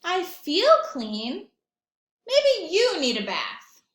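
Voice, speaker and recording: A stubborn storybook pigeon, voiced by a woman, high and emphatic. He drags out his words in indignant protest and argues every point. The sound is roomy and echoing. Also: wildly swooping pitch, heavily acted. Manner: emphatic, argumentative, drawn-out protests. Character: stubborn, indignant male pigeon dodging a bath.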